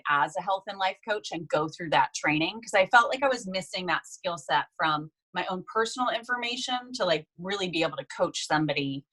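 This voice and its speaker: A woman in her forties from the United States, a guest telling her life story in a casual, animated interview. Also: lowish voice, a little fast, few pauses, poor audio.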